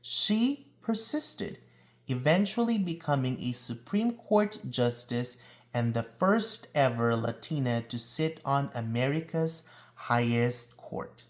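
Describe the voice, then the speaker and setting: A man reads a children's picture book aloud at a slow, deliberate pace. His pitch moves with lots of animation, and he draws out key words for emphasis like a storyteller. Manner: deliberate, expressive storytelling delivery with drawn-out emphasis. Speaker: read-aloud reader.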